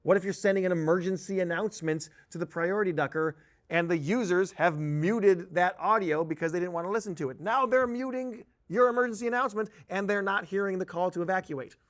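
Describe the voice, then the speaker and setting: A man giving a conversational software tutorial as an instructor, explaining carefully with cautionary advice and stressed key words. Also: sits high, brisk. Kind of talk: conversational tutorial narration over a software demo.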